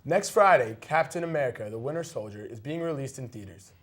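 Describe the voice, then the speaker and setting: A man's voice: mid-range voice, expressive, a little fast. A man co-anchoring a news segment, reading scripted stories with brief casual remarks and occasionally stumbling over a word.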